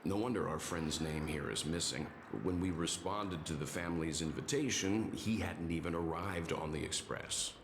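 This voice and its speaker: A man playing a calm, dependable middle-aged gentleman in a video game, speaking in a measured, explanatory way. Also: low-pitched, a little hiss or hum. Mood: composed. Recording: echoey, faint lobby ambience.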